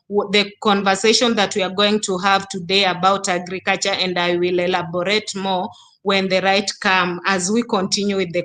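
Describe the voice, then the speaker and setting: A woman, a guest on an online panel, speaking earnestly and pausing often with fillers and restarts. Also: medium pitch, fairly bright tone. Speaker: youth foundation guest. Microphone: video call.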